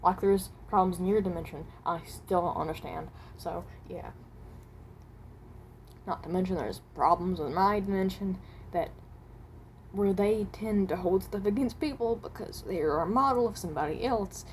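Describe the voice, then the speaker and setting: A haunted animatronic with the soul of a seventeen-year-old, voiced by a woman, speaks in a slightly low voice. The delivery is awkward and guarded, wandering through hesitations and unfinished thoughts like an improvised amateur audio drama. A light layer of noise sits on the home recording. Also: moderate pace, close to an everyday voice. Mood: reluctant, guarded. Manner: hesitant, rambling, trailing off. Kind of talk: amateur character voice acting, improvised monologue.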